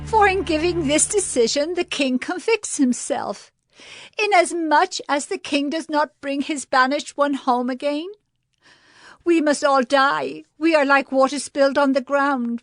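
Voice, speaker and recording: A woman's voice: high-pitched, a bit bright, no room sound, clean studio sound. A woman playing a grief-stricken, pleading village widow in a mournful, imploring style for a dramatized audio Bible. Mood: earnest, sorrowful. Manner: slow, imploring, mournful delivery.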